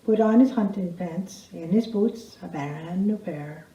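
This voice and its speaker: A woman's voice: on the low side, measured pace, mellow. A woman reciting a rhymed comic story in an emphatic, folksy storytelling manner. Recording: roomy echo, dull sound.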